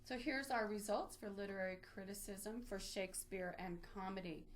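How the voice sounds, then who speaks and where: A woman's voice: medium pitch, fairly even intonation, moderate pace. Woman guiding a step-by-step tutorial. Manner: explanatory, step-by-step, unscripted. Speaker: librarian giving a database tutorial. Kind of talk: informal instructional screen-share walkthrough.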